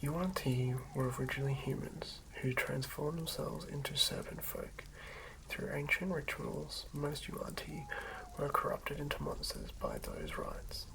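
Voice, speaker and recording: A hushed ASMR host speaks in an unhurried, halting whisper with a creaky, crackling edge. The pitch sits in a middle range. The lo-fi recording has clear background noise and small clicks behind the voice. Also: sing-song. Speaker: host of tabletop roleplaying ASMR videos. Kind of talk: whispered ASMR, reading and commentary.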